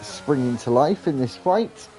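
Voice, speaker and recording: A man commentating a sim race with a mellow, dark voice that sounds bunged up from hay fever. He calls the action in brisk play-by-play and lifts his delivery as cars go side by side. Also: mid-range voice, lively intonation, slight background noise, rough recording. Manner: brisk play-by-play, rising with overtakes. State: stuffy nose from hay fever.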